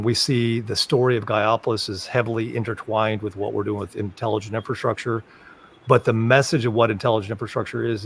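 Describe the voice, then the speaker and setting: A man's voice: on the low side, creaky, husky. A man speaking on a forum panel, thinking aloud with many ums and restarts. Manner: halting, full of ums and restarts. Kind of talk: discussion on a web forum call.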